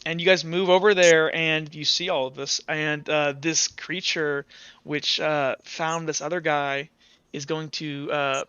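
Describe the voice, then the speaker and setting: A man running a tabletop roleplay as game master, narrating in a halting, improvised, descriptive way. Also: fairly high pitch, pushed and bright, clean tone, no room sound, muffled recording.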